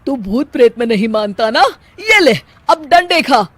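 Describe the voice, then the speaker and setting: A woman's voice: a little high, expressive, normal speed, fairly bright tone, no echo. A woman voicing a scheming, melodramatic middle-aged mother-in-law in a cartoon story. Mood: gloating. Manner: melodramatic, exclamatory. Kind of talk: Hindi cartoon story dubbing.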